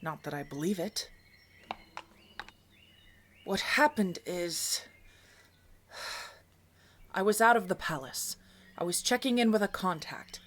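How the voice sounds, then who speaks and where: A woman's voice: on the low side, lively intonation, slow pace, pauses often, a bit bright, heavily acted. Woman acting an earnest, conspiratorial royal informant. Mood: wry, guarded. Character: loyal, urgent palace informant of a deposed queen. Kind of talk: fantasy audio roleplay, dramatic acting.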